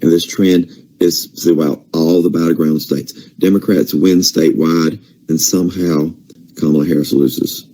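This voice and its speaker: A man whose voice is wearing out reels off vote counts and keeps asking if it makes sense. His voice is extremely deep and very dark. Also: fairly even intonation, moderate pace. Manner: incredulous, rhetorical, lists numbers. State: losing his voice. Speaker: political commentator. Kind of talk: casual commentary.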